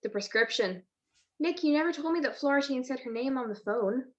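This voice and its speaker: High-pitched teasing detective's wife played by a woman. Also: animated, brisk. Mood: teasing, admiring. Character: teasing, affectionate detective's wife, sharp-witted. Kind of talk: 1940s radio detective drama acting. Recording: dull lo-fi video-call audio.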